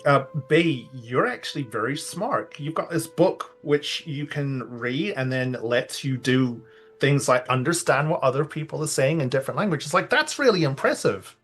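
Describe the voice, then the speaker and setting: A man's voice: mid-range voice, animated, moderate pace, fairly bright tone, faint music behind. A man roleplaying a ship captain in a tabletop game, talking in a rambling, hesitant way. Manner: rambling, hesitant, full of "I mean".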